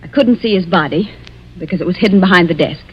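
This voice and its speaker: An actor playing an earnest witness giving testimony in a 1940s radio mystery, on a dull vintage recording. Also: male voice, high-pitched, fast, breathy edge. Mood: earnest, insistent.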